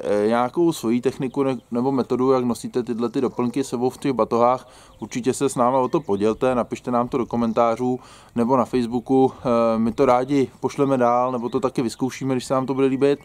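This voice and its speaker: A man's voice: medium pitch, a little mellow. A man in his thirties hosting a casual how-to video, chatty and joking, recorded outdoors in a yard. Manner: chatty, joking, colloquial Czech. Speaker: outdoor gear channel host. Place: outdoors in a grassy yard by a wooden shed.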